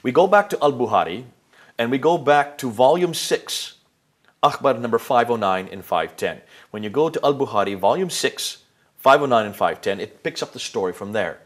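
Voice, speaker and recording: A man explaining his case at length to a listener. His voice sits at a middle pitch, and he turns emphatic when he poses rhetorical questions, pausing on fillers and restarting his phrases as he goes. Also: lively intonation. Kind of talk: explanatory lecture to an interviewer.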